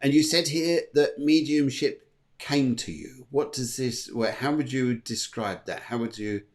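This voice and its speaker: A man's voice: medium pitch, unhurried, darkish tone. A man hosting a casual video-call chat, chatty and jokey, often repeating his words. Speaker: host and mediumship teacher.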